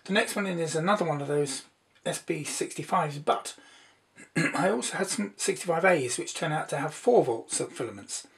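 Male hobbyist presenter, fairly high-pitched, informal explanatory delivery with a meandering, hesitant manner. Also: animated, normal speed, gravelly, fairly bright tone. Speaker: presenter, hobbyist radio builder. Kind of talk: informal demonstration narration.

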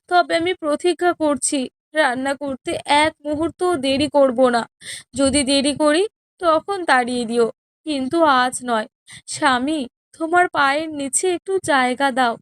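Woman as cartoon elder wife: very high voice, meek, wronged, pleading and sorrowful. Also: a bit bright, dry recording. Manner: plaintive, pleading. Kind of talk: melodramatic cartoon story dialogue.

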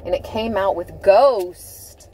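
A woman giving a tarot reading from inside a car, speaking in a slow, dramatic way and repeating key phrases for emphasis. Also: mid-range voice, mellow, slight background noise. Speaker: tarot reader. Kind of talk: tarot card reading to camera.

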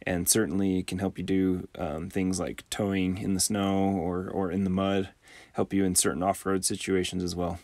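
A man, the host, giving a calm, methodical voice-over tutorial on a close mic. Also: low voice, no room sound. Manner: calm, methodical, measured explaining. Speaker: channel host.

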